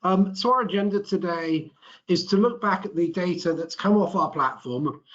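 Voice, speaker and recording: A middle-aged man, a chief executive, presenting to a business webinar in a measured corporate manner. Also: sits high, a bit bright. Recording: muffled webinar audio.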